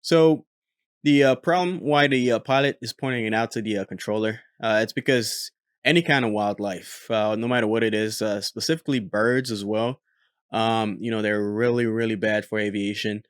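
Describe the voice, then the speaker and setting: A man's voice: on the low side, normal speed, clean tone, no echo, clean studio sound. A man, a former military air traffic controller, hosting casual commentary on a recorded radio exchange, talking easily with many fillers. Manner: relaxed, frequent filler "uh".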